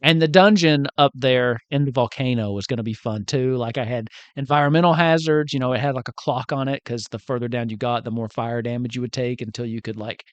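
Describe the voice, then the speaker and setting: A man's voice: mid-range voice, fairly even intonation, a little fast, few pauses, dry recording, dull audio. A man chatting casually as the game master of a tabletop campaign, joking and acting out quotes. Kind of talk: casual group chat about a game.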